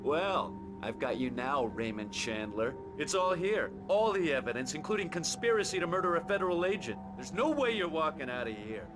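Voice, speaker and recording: Sneering drunk FBI villain for a game; volatile, taunting, very animated, score underneath. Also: male voice, fairly high pitch, normal speed, few pauses. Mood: gloating. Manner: taunting, mocking. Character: sneering, volatile drunken male federal agent. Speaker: FBI agent antagonist. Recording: clear music behind voice.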